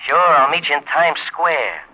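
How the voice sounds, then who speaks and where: A man's voice: a little high, big pitch swings, moderate pace, pushed and bright, heavily acted. A male actor playing a flippant, cagey man on the run in an old-time radio drama. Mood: flippant, wry. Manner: flippant, mocking, chuckling. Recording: dull old radio recording.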